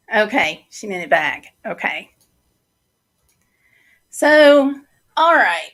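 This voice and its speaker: Slow, chatty woman losing her voice. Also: medium pitch, sing-song, a bit bright. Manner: slow, chatty, many fillers. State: losing her voice, throat clearing. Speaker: livestream host. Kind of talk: casual livestream chat reading viewer comments.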